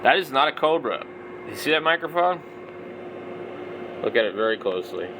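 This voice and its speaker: A man's voice: mid-range voice, big pitch swings, moderate pace, noisy background. A man in his forties giving casual, off-the-cuff product commentary, rambling and thinking aloud. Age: 40s. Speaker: vlogger, radio hobbyist.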